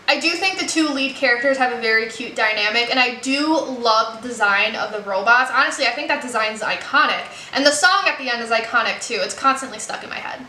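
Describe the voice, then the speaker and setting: An upbeat young American woman talks casually to her viewers, bright in tone and quick to laugh. Her voice sits a little high and rings around an echoey room at home. A faint hiss of background noise stays under her. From United States. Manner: chatty, upbeat, laughs easily. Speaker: YouTube creator. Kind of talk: casual chatty video commentary.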